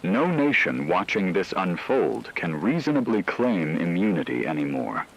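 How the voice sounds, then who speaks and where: A man playing a solemn radio news correspondent, delivering a scripted emergency war broadcast in a measured, dramatic way. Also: deep voice, sing-song, full character voice, low-quality recording. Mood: grave, urgent.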